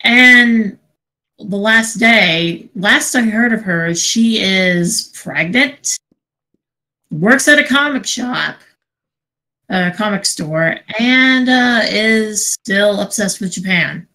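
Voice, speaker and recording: A woman chatting casually and telling stories in a sweary, animated way, acting out what people said. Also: medium pitch, takes it slowly, rough recording. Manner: sweary, animated retelling with acted-out dialogue. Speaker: podcast co-host. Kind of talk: casual storytelling chat.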